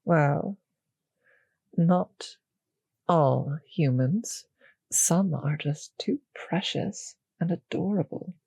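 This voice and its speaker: An actress playing a possessive, condescending vampire mistress in an intimate roleplay audio drama, speaking in a breathy, husky, patronizing, savouring way. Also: female voice, low-pitched, very slow, long pauses, dark tone, no room sound, heavily acted. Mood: haughty, cold.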